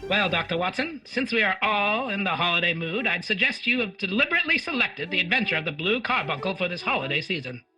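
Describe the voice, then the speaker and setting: A man's voice: high-pitched, animated, fast, few pauses, low-quality recording. A man playing an eager, showy announcer and salesman on an old-time radio show. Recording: loud music behind the voice.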